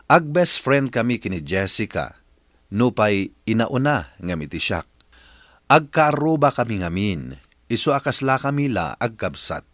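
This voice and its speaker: A man's voice: mid-range voice, big pitch swings, a little slow, fairly bright tone, no room sound. A man with an Ilocano accent hosting a radio drama, reading out a scripted introduction and a listener's letter.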